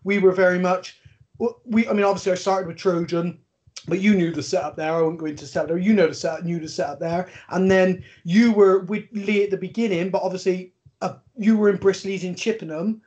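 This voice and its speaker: High-pitched Englishman, slightly dull recording. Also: steady tone, fairly quick, darkish tone. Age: 30s. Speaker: podcast host, MMA coach. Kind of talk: casual chat. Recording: dull, lo-fi sound.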